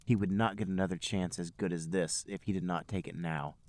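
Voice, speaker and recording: Low-voiced man narrating comic fan fiction. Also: steady tone, a little fast, darkish tone, dry recording. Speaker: podcast host and narrator.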